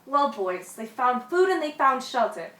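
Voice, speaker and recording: In a roomy echo, a little off the mic, a woman plays an old sea storyteller in a radio drama. The voice is fairly high and tells the yarn dramatically. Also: big pitch swings, unhurried, a bit bright, distant mic, heavily acted. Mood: suspenseful. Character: old seafarer spinning yarns to young lads. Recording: echoey room, off mic.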